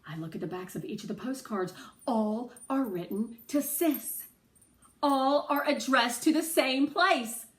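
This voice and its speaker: A woman reading a children's story aloud as an expressive storyteller, with animated, dramatic delivery. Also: on the high side, a little slow, distant mic.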